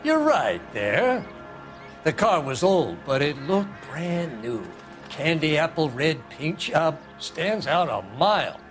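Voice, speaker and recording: A man's voice: fairly high pitch, sing-song, slow pace, soft background music. An actor voicing a cranky, nosy old American man in a video game, irritable, defensive and folksy. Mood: boastful, animated.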